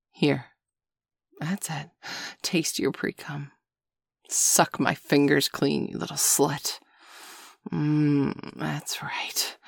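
A woman performing a whispered audio roleplay with a dominant, teasing manner that drifts between soft speech and breathy whispers. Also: low-pitched, no room sound.

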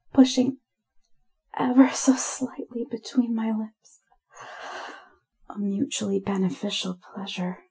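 A woman with a very slow, breathy delivery and a gravelly creak in her fairly high voice. She narrates intimate adult audio in a hushed, lingering way, often slipping into a whisper. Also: poor audio.

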